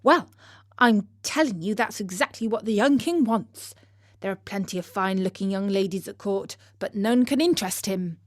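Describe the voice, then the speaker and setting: A woman's voice: mid-range voice, normal speed, dry recording, studio quality, natural voice. A woman voicing an opinionated castle cook in a storybook, gossipy and sure of what she knows. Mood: knowing, gossipy. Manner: gossipy, assured. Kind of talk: storybook character voice.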